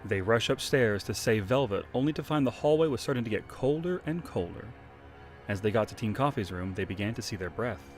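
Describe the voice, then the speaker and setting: A man's voice: lowish voice, expressive, fairly quick, faint music behind. A man narrating a fan audio drama in a theatrical, playful style with wry asides.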